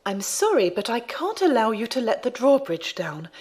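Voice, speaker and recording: A woman playing a serene, devout warrior nun, polite, formal and gently superior, in an audio drama. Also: fairly high pitch, very animated, moderate pace, husky. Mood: politely unruffled.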